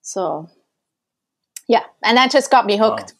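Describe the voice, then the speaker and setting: A woman's voice: a little high, lively intonation, slow pace, creaky, fairly bright tone, a little hiss or hum. Chatty Swiss-born woman telling a story. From Switzerland. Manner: chatty, storytelling, laughs often. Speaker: transport organisation founder. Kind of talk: casual panel conversation.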